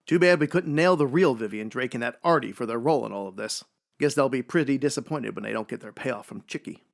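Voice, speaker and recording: A man's voice: mid-range voice, fast talker, vocal fry, natural voice. A man playing a wry, self-assured ex-cop private detective in a 1940s radio noir style, full of wisecracking slang. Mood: easygoing, teasing. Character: male ex-cop private detective, wry, hard-boiled, sure of himself.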